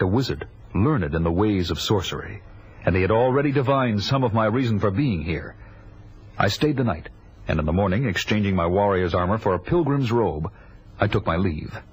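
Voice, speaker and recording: A man's voice: sits low, expressive, normal speed, husky, muffled recording, full character voice. An actor playing a vengeful barbarian warrior who solemnly narrates his own quest in an audio drama. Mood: grave, narrating. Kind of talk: scripted first-person audio drama narration. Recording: music behind the voice.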